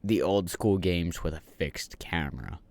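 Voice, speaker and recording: A man co-hosting a podcast in casual chat, relaxed and teasing, with a slightly raspy voice. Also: low-pitched, measured pace. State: raspy from a long day. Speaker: podcast co-host.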